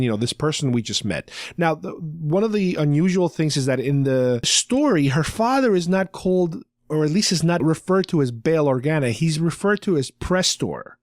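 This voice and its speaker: A man's voice: a little high, no echo, clean studio sound. A man hosting a podcast, casually recapping a story in an explanatory, rambling way. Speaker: podcast host. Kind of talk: casual talk recapping a radio drama.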